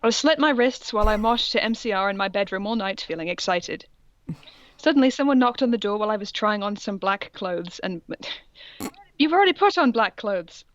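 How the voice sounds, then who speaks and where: A woman's voice: mid-range voice, expressive, moderate pace, no echo, highs cut off. A woman co-hosting a comedy podcast, reading fan fiction aloud and breaking off for sarcastic, jokey comments.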